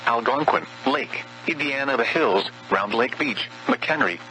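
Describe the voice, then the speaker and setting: A man's voice: medium pitch, lively intonation, pushed and bright, soft background music, rough recording. Synthetic male weather radio announcer. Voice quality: synthetic text-to-speech voice. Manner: measured, impersonal delivery. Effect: weather radio broadcast. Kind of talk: scripted weather warning bulletin. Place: broadcast over NOAA weather radio.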